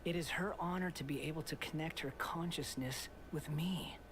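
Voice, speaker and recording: An actor playing a mocking young male god in a video game, speaking in a hushed, breathy half-whisper with a condescending tone. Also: a little high, moderate pace, noisy background, close to an everyday voice. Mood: taunting. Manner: taunting, condescending.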